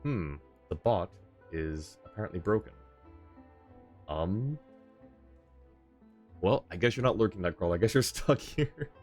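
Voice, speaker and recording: A man's voice: lowish voice, lively intonation, unhurried, pauses often, a little mellow. A man livestreaming a video game, chatting casually and thinking aloud in a rambling way. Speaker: livestreamer host. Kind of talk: casual chat while playing a game. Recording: game music behind the voice. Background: video game music and character voices.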